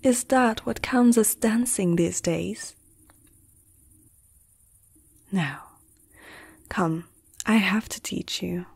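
A woman voicing a flirtatious, gentle female vampire noble in an intimate, teasing ASMR roleplay, soft and often close to a whisper. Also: mid-range voice, slow, creaky, breathy edge, exaggerated voice. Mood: teasing, instructive.

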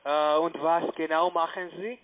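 A man giving a phone sales pitch in halting non-native German with grammar slips, as a call center agent. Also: level tone. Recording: tinny band-limited phone line.

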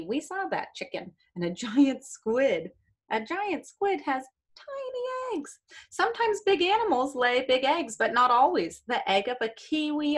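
A middle-aged American woman reading aloud to young pupils like a teacher, explaining as she goes with questions and small exclamations. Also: high-pitched. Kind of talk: children's read-aloud with asides. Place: small room indoors.

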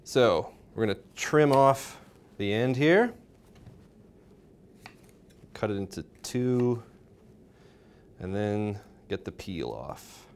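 A man's voice: on the low side, very animated, slow pace, pauses often, a little hiss or hum. A young man presenting a cooking show, explaining each step in an informal, instructional way. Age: young adult. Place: indoor home-style kitchen.

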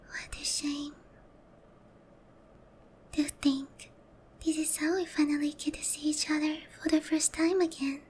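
A woman playing a gentle, remorseful young demon lord in a soft, breathy whispered ASMR roleplay, tender and hesitant. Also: sits high, flat delivery, a little hiss or hum. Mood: sad, resigned. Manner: slow, tender, hesitant, with long pauses.

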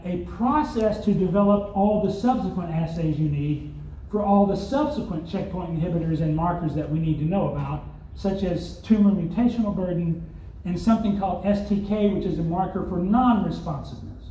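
A man's voice: high-pitched, expressive, normal speed, dark tone, a little hiss or hum. An older man from Arkansas with a Southern accent, giving a conference keynote with rhetorical questions and wry asides, recorded from the audience in a large hotel hall. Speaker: keynote speaker. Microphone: far phone camera in audience. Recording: distant echoey hall, dull audience phone recording.

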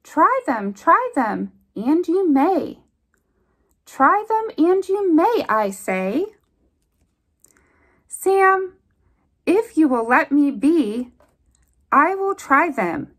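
Lady reading a kids rhyming book out loud, high voice, slow and singsong. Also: very animated. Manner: singsong, deliberate rhythmic delivery. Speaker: children's book reader. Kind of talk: expressive read-aloud of a rhyming picture book for young children. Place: indoors at a table.

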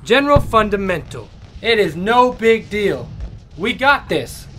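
Man playing a high-voiced breezy army private. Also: sing-song, measured pace, over loud music. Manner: flippant, confident. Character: breezy, cheerful, flippant male army private. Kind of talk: comedic radio-play acting.

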